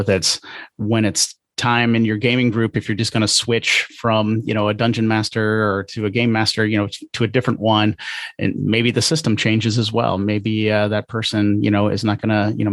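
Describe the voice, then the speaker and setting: A man's voice: lowish voice, no room sound. A man hosting a podcast interview in casual chat, with a hesitant, rambling way of talking.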